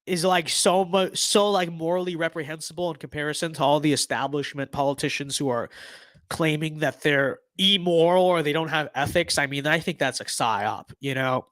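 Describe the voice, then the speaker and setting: Young male streamer, hoarse voice, slightly high, rambling casual talk, dry close mic. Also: moderate pace, fairly bright tone, no room sound. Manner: rambling, filler-heavy, many restarts. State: losing his voice. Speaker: livestream host. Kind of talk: casual livestream commentary.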